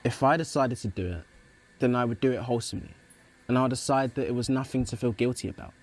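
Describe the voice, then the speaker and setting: A man's voice: medium pitch, fast, darkish tone, lightly acted. An actor playing an earnest, open young religious man in a naturalistic radio play, explaining himself thoughtfully. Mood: thoughtful, candid.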